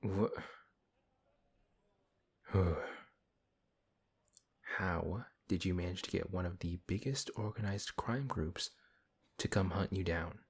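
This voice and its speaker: Fantasy audio drama: male actor as exasperated good-hearted paladin, big and husky, deep register, unhurried delivery. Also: exaggerated voice. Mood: concerned, probing. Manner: flustered, questioning, sighing. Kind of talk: audio roleplay, fantasy acting.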